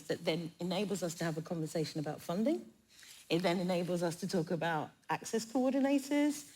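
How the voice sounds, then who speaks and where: A woman speaking persuasively on a panel, deliberate and emphatic, repeating phrases for effect. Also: low voice, darkish tone, poor audio. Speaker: panellist, broadcasting executive. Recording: faint background noise.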